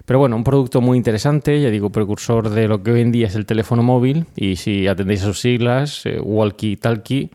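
Mellow low-voiced man from Spain, podcasting. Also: fairly quick, few pauses. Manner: conversational, hesitant with frequent filler words. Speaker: podcast host. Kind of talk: casual explanatory podcast monologue.

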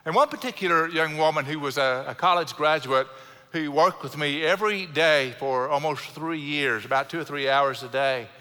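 A middle-aged man with a South Houston Texan accent, preaching a sermon in an earnest way, slowing down to stress key words and adding light self-deprecating humor. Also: a little high, bright, forceful tone. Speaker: pastor. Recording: long hall reverb from a church sanctuary.

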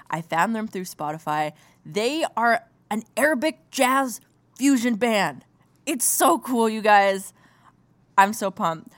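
A woman hosting a music podcast, bubbly and gushing with excitement as she interviews a band. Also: medium pitch. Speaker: podcast host. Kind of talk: enthusiastic interview chat.

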